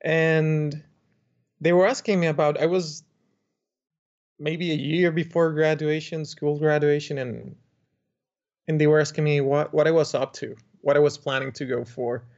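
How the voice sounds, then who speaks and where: A man's voice: on the high side, steady tone, measured pace, darkish tone. A young man from the Dominican Republic, an architect guest telling his story in a chatty way with restarts. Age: young adult. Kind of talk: interview conversation. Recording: muffled lo-fi remote audio.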